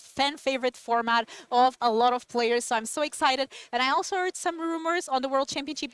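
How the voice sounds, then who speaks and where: A woman's voice: high voice, a bit bright, smooth, no echo. A woman whose first language is Portuguese, co-hosting a live stream and chatting upbeat with viewers. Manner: upbeat, talks to chat. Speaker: event co-host. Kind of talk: casual live stream chat.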